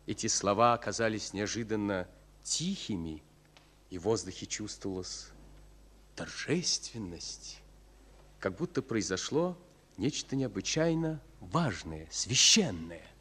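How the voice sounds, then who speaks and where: A man narrating a war drama in a solemn, measured, literary style. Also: on the low side, expressive, a bit bright, breathy edge.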